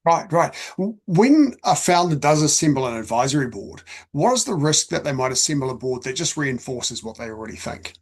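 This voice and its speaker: A man hosting an interview, conversational and measured, setting out points and posing questions. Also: fairly high pitch, animated. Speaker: interview host.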